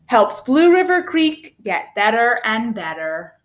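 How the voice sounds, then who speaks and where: A woman reads a children's picture book aloud expressively, with a measured, rhythmic delivery of rhyming verse. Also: on the high side, big pitch swings.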